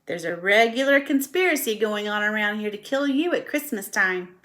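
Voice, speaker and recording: A gloomy old ewe in a storybook, as a woman puts her on, talking plainly and grimly. Her voice is bright and a little raised. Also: medium pitch, lively intonation, normal speed, natural voice. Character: old female sheep, blunt and gloomy.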